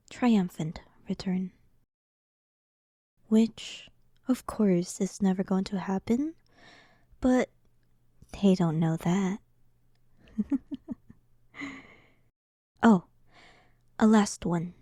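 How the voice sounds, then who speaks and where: Demon queen for roleplay audio , female performer: dominant, sarcastic, unhurried, dark soft tone, very close and dry. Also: mid-range voice, no echo. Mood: smug, menacing. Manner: slow, teasing, condescending. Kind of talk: scripted roleplay audio drama.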